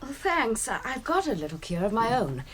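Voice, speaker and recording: Polite young woman, lively pitch. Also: moderate pace, slight background noise. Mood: reassuring. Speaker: actor in a radio drama. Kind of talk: radio drama acting.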